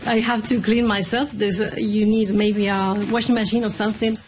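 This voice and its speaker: A woman's voice: mid-range voice, level tone, moderate pace, over music. A woman answering casually in an interview as a parent.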